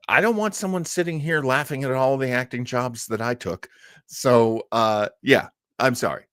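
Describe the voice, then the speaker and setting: A man's voice: mid-range voice, no room sound, clean studio sound. A man hosting a casual live stream about old radio, rambling and often breaking off to search for his words. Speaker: online show host.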